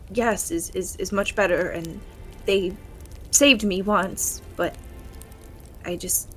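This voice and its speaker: A woman's voice: medium pitch, natural voice. A woman playing an awkward, anxious male warlock in a fantasy audio drama game, stammering and hesitant but earnest, with music behind. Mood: earnest, vulnerable.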